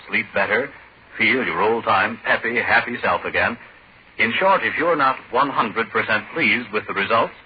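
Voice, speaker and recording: Casting: male vintage radio pitchman, fairly low voice, emphatic selling, muffled sound. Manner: emphatic, salesmanlike. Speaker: radio announcer. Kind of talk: 1940s radio sponsor pitch. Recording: muffled, noisy 1940s radio recording.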